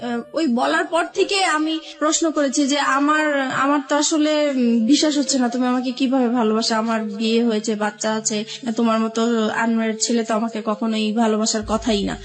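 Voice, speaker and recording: A woman's voice: on the high side, steady tone, moderate pace. A woman with a Bangladeshi accent telling her own life story as an interview guest, often retelling conversations as she goes. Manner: recounts events with reported dialogue.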